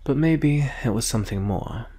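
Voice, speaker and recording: A man playing a calm, condescending alien specialist from a secret agency in a measured, deliberate ASMR roleplay monologue. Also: medium pitch, husky. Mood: measured, knowing. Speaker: roleplay performer.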